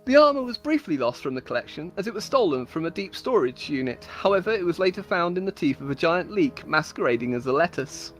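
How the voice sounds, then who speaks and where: Woman reading silly fantasy stories out loud, mock serious, really swoopy voice, bit of music behind. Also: lowish voice, big pitch swings, fast talker, few pauses. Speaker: storyteller reading own stories. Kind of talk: comedic dramatic reading of scripted tales.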